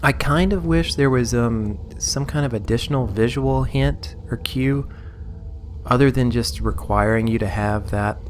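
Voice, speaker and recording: A man casually commenting on his game as he plays, rambling and amused, a little hoarse. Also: mid-range voice, a little slow, slight background noise. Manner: rambling, self-amused, sorting inventory aloud. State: slightly hoarse. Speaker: let's play host.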